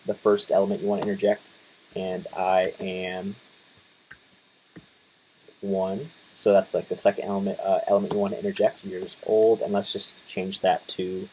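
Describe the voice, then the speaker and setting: A man in his twenties casually talking through a coding tutorial, relaxed, with lots of fillers and restarts. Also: deep voice, moderate pace, a little hiss or hum, low-quality recording. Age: 20s. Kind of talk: casual screen-share tutorial.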